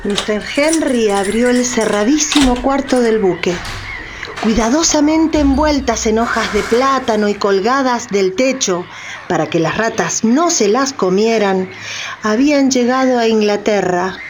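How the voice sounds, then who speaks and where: Woman narrator, slightly high voice. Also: unhurried, background noise. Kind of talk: dramatised scripted narration.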